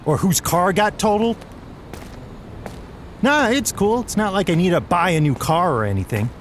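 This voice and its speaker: A man's voice: sits high, animated, moderate pace, a little hiss or hum. An actor playing a sarcastic, down-on-his-luck young man in an audio drama, grumbling and hesitant. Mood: annoyed, sarcastic. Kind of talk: audio drama acting, naturalistic dialogue.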